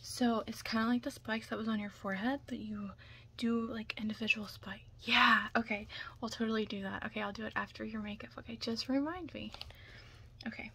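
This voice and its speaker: A young woman doing an ASMR roleplay as a makeup stylist, speaking softly and breathily, often in a whisper, with reassuring asides. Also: a little high, fairly even intonation, fairly quick. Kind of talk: ASMR roleplay, close personal attention. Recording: close-up with clear background noise.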